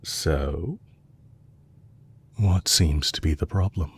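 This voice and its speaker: A man playing a composed, courteous villain leader in an audio roleplay, speaking in a measured, formal way to a captive he is fond of. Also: extremely low pitch, slight background noise, heavily acted. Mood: probing, courteous.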